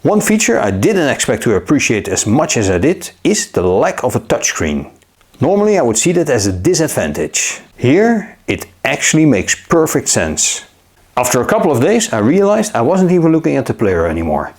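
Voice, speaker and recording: A man narrating a scripted gadget review in a measured, upbeat way. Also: medium pitch, wildly swooping pitch, a little mellow. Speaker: tech reviewer.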